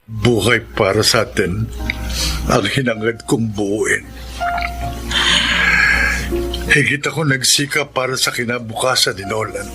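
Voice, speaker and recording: A man's voice: on the low side, steady tone, normal speed, over loud music, lightly acted. An actor playing a humble, earnest and devoted working father in a radio drama. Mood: earnest, emotional.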